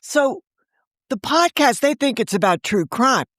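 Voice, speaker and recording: A woman's voice: high voice, very animated, a little slow, a bit bright, dry recording. Woman, interview guest, chatty storyteller, dry sound. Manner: chatty storyteller, laughs often. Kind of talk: casual interview chat.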